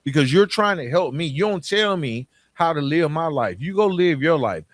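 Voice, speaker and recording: A man's voice: fairly high pitch, expressive, normal speed, no room sound. Atlanta man talking straight, dry close sound. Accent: Southern US, Atlanta. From Atlanta, southern United States. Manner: conversational and emphatic, repeating phrases and posing rhetorical questions. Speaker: podcast host. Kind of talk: informal motivational monologue.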